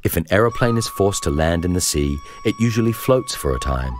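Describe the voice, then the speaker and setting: A man reading a first-person memoir as scripted narration, with measured, deliberate phrasing. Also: low-pitched, animated. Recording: music behind the voice. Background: music.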